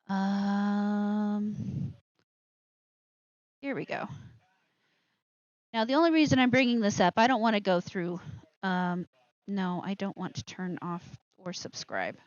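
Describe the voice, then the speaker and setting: A woman's voice: mid-range voice, unhurried, long pauses, no room sound, lo-fi sound. A woman hosting a live show with casual commentary, rambling and interrupting herself with asides.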